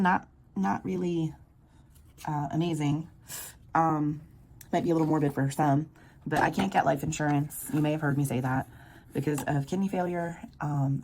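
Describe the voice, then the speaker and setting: A woman with a sore, scratchy throat, quietly counting bills aloud in a casual budgeting vlog. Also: deep voice. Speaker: budgeting vlogger. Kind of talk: casual cash-stuffing walkthrough.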